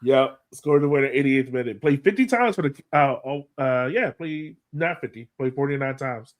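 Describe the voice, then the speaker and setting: A man co-hosts a casual sports podcast in an ordinary mid-pitched voice. He talks in a loose, conversational way, playful and folksy as he runs the chat. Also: expressive, moderate pace.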